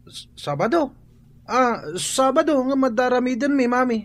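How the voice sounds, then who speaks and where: A man's voice: extremely high pitch, moderate pace, no room sound. A man playing a spoiled, rude and mocking student son in a Filipino radio drama.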